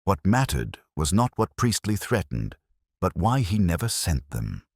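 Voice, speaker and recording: A man voicing a reflective, wry middle-aged detective, narrating a mystery audio drama in the first person with a measured, literary delivery. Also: sits low, big pitch swings, breathy edge, no echo, clean studio sound, exaggerated voice. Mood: brooding.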